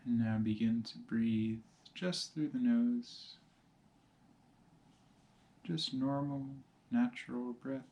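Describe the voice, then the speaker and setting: A man teaching meditation, giving calm, measured instructions in short phrases with pauses between them. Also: mid-range voice, highs cut off. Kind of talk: guided meditation, slow spoken instructions.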